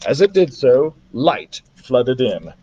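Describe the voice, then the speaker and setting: A man reading a children's adventure novel aloud as a story-time host, with an animated, theatrical delivery. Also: medium pitch, takes it slowly, slightly rough, highs cut off.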